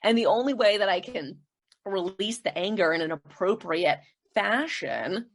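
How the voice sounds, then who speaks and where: A woman's voice: mid-range voice, lively intonation, moderate pace. A woman chatting as a podcast guest, laughing often and acting out the people she quotes. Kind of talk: casual interview chat.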